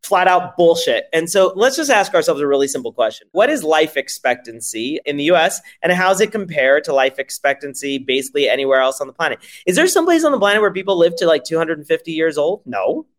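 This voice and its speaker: Brief: male founder from France, fast and lively, fairly high voice, emphatic and profane. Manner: emphatic, profane, rhetorical questions. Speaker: startup founder guest. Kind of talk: energetic interview pitch.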